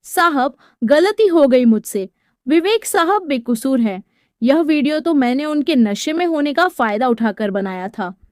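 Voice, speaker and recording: Woman voicing a high-pitched scheming young housemaid. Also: lively intonation, unhurried, dry recording, clean studio sound. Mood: pleading. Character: scheming young housemaid, coy, falsely wronged, pleading.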